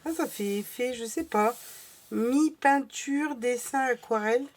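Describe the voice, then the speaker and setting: A woman vlogger with a slightly hoarse voice, chatting casually through a crafting haul with many fillers and restarts. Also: a little high, unhurried.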